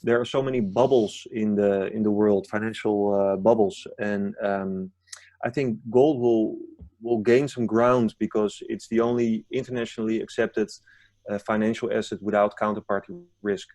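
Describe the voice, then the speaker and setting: Low-voiced Dutch man explaining. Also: fairly even intonation, moderate pace, dark tone, dry recording. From Netherlands. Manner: searching for words, explanatory. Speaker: gold market analyst guest. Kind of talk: interview conversation.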